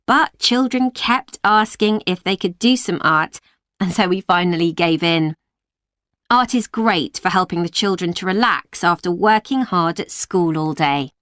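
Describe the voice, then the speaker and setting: An actress playing a woman who runs an after-school club, explaining its activities to parents in a clear, measured way. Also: mid-range voice, no echo, clean studio sound, lightly acted. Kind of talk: scripted exam recording.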